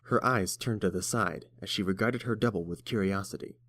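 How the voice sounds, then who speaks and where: A man's voice: lowish voice, a little fast, a bit bright, no room sound, clean studio sound. A man reading scripted third-person narration for a fantasy story.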